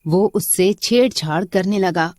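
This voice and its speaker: A woman's voice: on the low side, measured pace, a little mellow, no echo. A woman narrating a scripted story as a storyteller.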